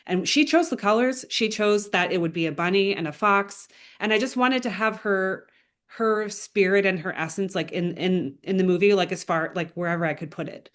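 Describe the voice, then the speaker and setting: A woman speaks as an interviewed filmmaker, with a mid-pitched voice. Her delivery is casual and earnest, like someone explaining her creative work in conversation. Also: normal speed. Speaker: animator and filmmaker being interviewed.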